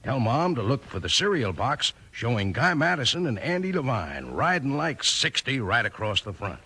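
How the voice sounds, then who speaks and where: American guy doing old radio cereal ad style, folksy, bright punchy voice, very animated. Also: medium pitch, moderate pace, slight background noise. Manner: folksy, emphatic salesmanship. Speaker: commercial announcer. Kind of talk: 1950s radio ad pitch with cowboy slang.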